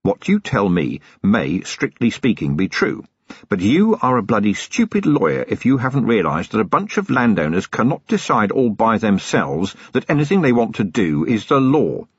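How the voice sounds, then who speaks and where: A tough middle-aged police commander, as a male narrator puts him on speaks in a husky, stern voice with plenty of lift and swing. He is menacing and dryly sarcastic. The sound is dry and slightly dull. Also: lowish voice, huge pitch swings, normal speed, no room sound, heavily acted.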